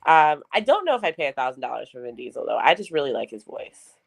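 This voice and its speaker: A woman with a low voice, co-hosting a casual podcast chat, frank and profane and quick to laugh. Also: sing-song, gravelly. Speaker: podcast co-host.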